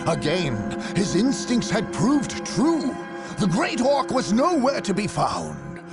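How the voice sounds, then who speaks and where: A grave, menacing game chronicler, with a creaky, gravelly voice that swings widely in pitch. He tells it slowly and theatrically over faint music. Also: wildly swooping pitch, full character voice. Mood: ominous. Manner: theatrical, deliberate storytelling. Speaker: narrator. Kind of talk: dramatic scripted game narration.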